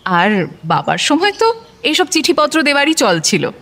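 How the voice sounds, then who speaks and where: A lively, high-pitched daughter-in-law, breezy and broad-minded. She remonstrates with a teasing edge in radio-drama style. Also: moderate pace, exaggerated voice. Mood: breezy, protesting.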